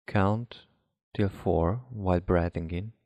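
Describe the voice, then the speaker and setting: A man reading a guided hypnosis relaxation script as a hypnotherapist, in a measured, instructive way with deliberate pauses. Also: low-pitched, a little mellow, dry recording. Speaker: hypnotherapist guiding a session.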